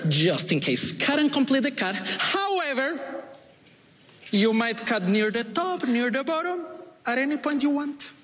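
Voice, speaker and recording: A man from Ecuador with a Latin American Spanish accent, speaking as a stage magician to volunteers, deliberate and polite with playful, pointed pauses. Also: very high voice, sing-song, fairly bright tone. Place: TV show stage in a large hall. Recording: echoey stage hall with noise.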